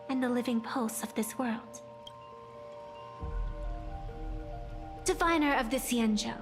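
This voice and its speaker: A woman's voice: a little high, close to an everyday voice. A woman voicing an aloof, playful rabbit-eared fey girl in an anime game, speaking in grand riddles. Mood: grandiose.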